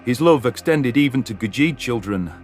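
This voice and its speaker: A man's voice: medium pitch, expressive, normal speed, darkish tone, soft background music. A man reading a scripted first-person science fiction story as a dramatic narration.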